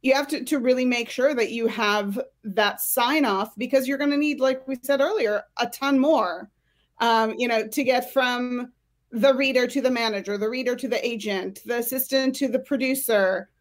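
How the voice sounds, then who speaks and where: A woman's voice: on the high side, moderate pace. A woman speaking as a career coach and panel guest, explaining her advice conversationally and emphatically.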